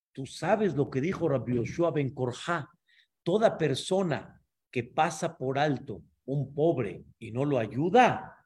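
A man's voice: mid-range voice, darkish tone. A man, a rabbi teaching a Torah class and explaining patiently, often checking that listeners follow. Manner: explanatory, checks understanding with frequent asides.

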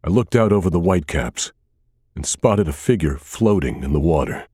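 A man reading a scripted first-person thriller as an audiobook narrator, with a measured, dramatic delivery. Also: low-pitched, sing-song, warm tone, slightly rough, no room sound, studio quality.

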